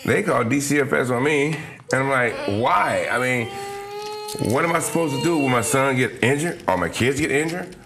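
A man's voice: medium pitch, normal speed, a crowd in the background. A middle-aged man giving a plain personal account as an interview guest. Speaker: interview guest, father of young children. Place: small TV studio living-room set. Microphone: lapel.

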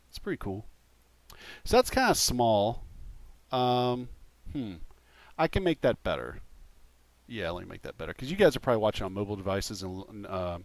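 Male podcast host and streamer: informal, sweary, lively intonation, close dry sound. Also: fairly low pitch, no room sound. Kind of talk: casual livestream chat.

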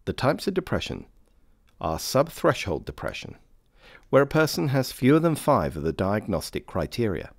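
A man reading scripted informational narration for a training film in a measured, formal manner. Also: medium pitch, huge pitch swings, no room sound, studio quality. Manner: measured, formal, clearly phrased.

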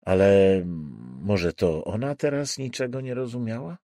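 Male thriller audiobook narrator, dramatic read, unhurried pace, animated intonation. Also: mid-range voice, dry recording, studio quality.

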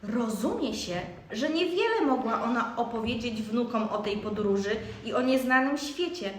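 A woman's voice: on the high side, animated, moderate pace. A young girl reading scripted storybook narration for an amateur radio play. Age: child or young teen. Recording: echoey room, faint noise.

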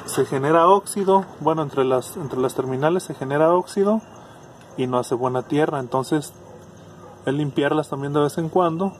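Hombre mexicano explicando reparación de auto, voz algo aguda, ruido de fondo leve. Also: male voice, on the high side, noisy background. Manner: casual, thinking aloud with restarts. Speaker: car electronics tutor. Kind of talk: hands-on repair demonstration.

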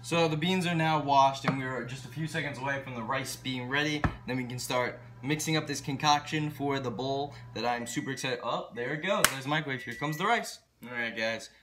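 A man's voice: medium pitch, brisk, hardly any pauses, music in the background. A young man talking casually and enthusiastically to his viewers as a vlogger, recorded in a home kitchen on a camera at about arm's length. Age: young adult. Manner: enthusiastic, earnest. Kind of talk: casual vlog talk to viewers.

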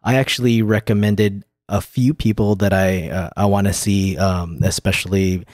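Low-pitched man hosting a podcast. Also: fairly even intonation, moderate pace, darkish tone, no echo, clean studio sound. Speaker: podcast host. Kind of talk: casual tech podcast talk.

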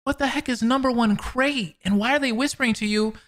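A man in his twenties giving excitable, exclamatory reaction commentary close on a microphone. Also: very high-pitched, brisk. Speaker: reaction video host. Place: small indoor room. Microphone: close microphone on boom arm.

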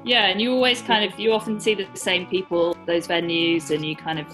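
A woman's voice: lowish voice, steady tone, fairly quick, low-quality recording. A young woman in a band, talking casually in an interview over a video call from home. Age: young adult. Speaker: band member being interviewed. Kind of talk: casual chat. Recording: video call, music underneath.

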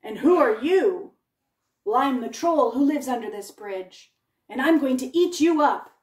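A woman voicing a grumpy, hungry male troll in a storybook tale, loud and drawn out. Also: high voice, expressive, measured pace, echoey room, distant mic. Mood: gruff.

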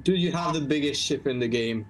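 A man's voice: on the high side, fairly quick. A man casually chatting with a friend during a game, laid-back and knowing, using slang. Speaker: co-player, friend. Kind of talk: casual gameplay chat.

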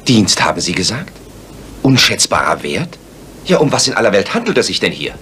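Ein Sprecher, der in einem Hörspiel einen treuen, leicht reizbaren Arzt spielt, ernst und empört. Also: male voice, on the low side, huge pitch swings, a little fast, sharp tone, a little hiss or hum, full character voice. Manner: indignant and earnest. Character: loyal, easily ruffled male doctor companion. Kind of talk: German radio drama.

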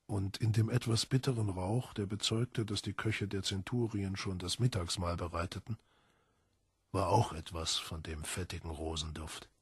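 A male audiobook narrator with a deep, very husky voice. He reads literary prose in a solemn, measured way with dramatic emphasis. The recording is dry, with no room sound. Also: steady tone, dry recording.

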